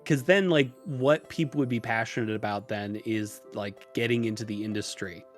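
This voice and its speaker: A man's voice: mid-range voice, normal speed. A man talking casually as a podcast guest, explaining things in a loose, rambling way with hesitations. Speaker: podcast guest who runs a tutorial channel. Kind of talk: casual interview conversation. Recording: music mixed under the voice. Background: music.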